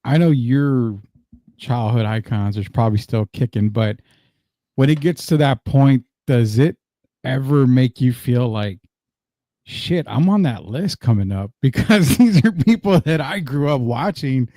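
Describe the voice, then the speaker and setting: A middle-aged man hosts a live sports stream with a mellow, relaxed tone. He talks in a loose, slangy, conversational way, recorded close and dry. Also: mid-range voice, a little slow, no echo. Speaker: sports show host.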